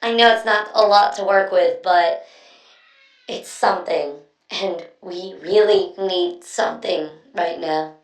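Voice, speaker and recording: A woman's voice: on the low side, fairly even intonation, takes it slowly. A woman voicing a friendly, earnest young female inventor in a video game, talking in a casual, chatty, slightly hesitant way. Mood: earnest, hopeful. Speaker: video game quest character. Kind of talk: scripted game voice lines, conversational. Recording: roomy, echoing, distant-sounding voice.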